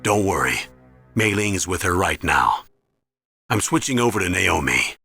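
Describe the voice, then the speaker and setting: An actor playing an older military commander in a video game, measured and earnest, with a fatherly gravity. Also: low voice, very animated, creaky, sharp tone, breathy edge, no echo, clean studio sound, heavily acted. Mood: warm reassurance. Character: older male military commander, grave, paternal, remorseful. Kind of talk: dramatic video game acting. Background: music.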